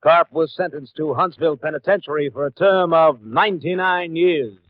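A man's voice: on the high side, normal speed, no echo, lo-fi sound. A man reading a scripted case report in a formal, factual manner for an old radio show. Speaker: narrator. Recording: thin, band-limited old radio recording.

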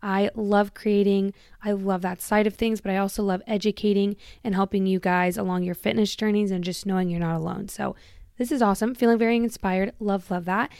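A woman chats on her solo podcast in a smooth, clear voice recorded close and dry. Her talk is candid and rambling, full of "like" and restarts. She sounds a little tired while getting over a long illness. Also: mid-range voice, fairly even intonation, fairly quick, a little mellow, dry recording. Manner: chatty and candid, heavy use of "like", self-interrupting. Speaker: podcast host. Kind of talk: casual solo podcast chat.

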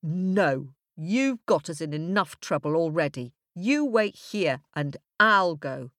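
A woman's voice: fairly low pitch, animated, slow pace, a bit bright, dry recording, clean studio sound. A woman voicing a headstrong, impatient young girl in a storybook, with an insistent manner. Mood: bossy. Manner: insistent, foot-stamping.

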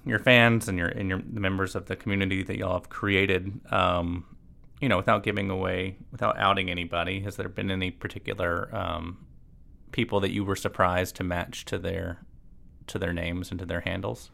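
A man hosting an interview podcast, speaking in a very low voice with a heavy gravelly fry. He asks relaxed, halting questions with many ums. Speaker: podcast host.

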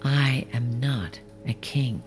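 An actor playing a calm, menacing leader of a radical movement in an audio drama, speaking deliberately and rhetorically. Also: male voice, medium pitch, faint music behind, close to an everyday voice. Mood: calm, challenging.

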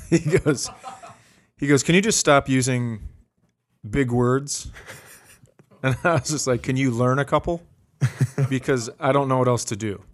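A man's voice: medium pitch, unhurried, long pauses. Middle-aged man, mid-range voice, comedy podcast host, casual, self-amused storyteller.

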